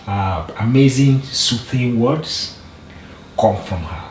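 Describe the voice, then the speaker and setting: A middle-aged man with a Nigerian accent, sharing slowly and haltingly with many restarts in a group talk. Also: medium pitch, expressive. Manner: slow, halting, with repeated restarts. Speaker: group member, father. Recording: roomy, distant mic, faint noise.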